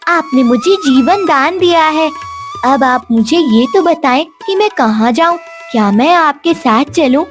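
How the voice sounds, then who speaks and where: A woman's voice: high-pitched, lively intonation, a little slow, faint music behind. A woman voicing a sweet, innocent, trusting little girl in a cartoon story. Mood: trusting, unsure. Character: sweet innocent little girl, clay doll come alive.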